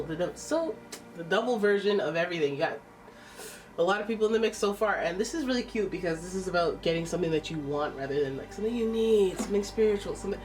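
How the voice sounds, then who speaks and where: A woman's voice: fairly low pitch, distant mic, poor audio. A woman with a stuffy nose giving a casual, chatty tarot reading, exclaiming and thinking aloud as she turns the cards. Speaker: tarot reader.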